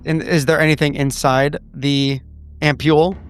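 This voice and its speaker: A man's voice: on the high side, flat delivery, normal speed. A man taking part as a player in a tabletop roleplaying game, casually narrating what his character does.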